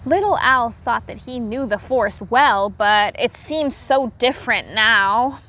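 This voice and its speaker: A woman teacher reading aloud to young children, pausing to explain words simply and repeating herself for clarity. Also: on the high side, bright, forceful tone.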